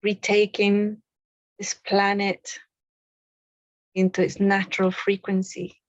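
A woman leading a guided meditation, speaking softly with long pauses between short phrases. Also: medium pitch, completely flat delivery, no room sound, highs cut off. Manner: slow, soft, with long pauses.

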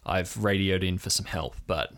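A man playing a cautious, practical policeman planning a rescue in an improvised audio drama, halting and matter-of-fact. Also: low voice, fairly bright tone. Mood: worried, focused.

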